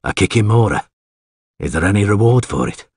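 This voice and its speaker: Man playing a low, laconic monster hunter. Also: slight background noise, close to an everyday voice. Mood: grim, matter-of-fact. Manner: laconic, unhurried, deadpan. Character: terse, weary, dry-witted male monster hunter. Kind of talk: audiobook character acting.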